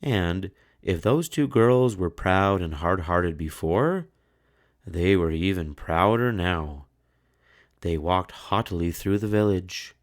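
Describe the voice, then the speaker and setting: A man with a low voice tells a children's story aloud. He takes his time, pausing dramatically, and his pitch moves expressively. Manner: measured, expressive, with pauses for suspense. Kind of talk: dramatic picture-book read-aloud.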